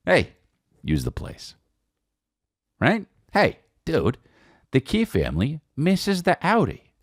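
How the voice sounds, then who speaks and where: Animated American man, very dry sound. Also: a little high, slow, dry recording. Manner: animated, rambling, repeats phrases for emphasis, swears freely. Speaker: podcast host telling personal stories. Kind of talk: casual comedic monologue.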